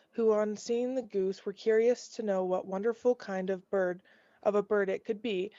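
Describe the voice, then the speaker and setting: A woman reading a children's fairy tale aloud, a little haltingly. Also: medium pitch, mellow, no room sound. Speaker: storybook reader. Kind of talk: scripted children's story read aloud. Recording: dull, lo-fi dry recording.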